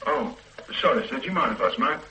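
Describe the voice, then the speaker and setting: A man's voice: medium pitch, animated, fast, pushed and bright, dull audio, slight background noise. A man playing a young detective and dutiful son in a radio drama, patient but defensive under pressure. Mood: eager. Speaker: police detective.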